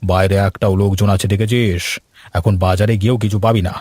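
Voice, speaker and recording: A man's voice: on the low side, flat delivery, brisk, dark tone, no echo, lightly acted. A man plays a strict middle-aged father in a cartoon, firm and scolding as he lays down the rules. The voice is dry and close, with no room sound. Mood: stern.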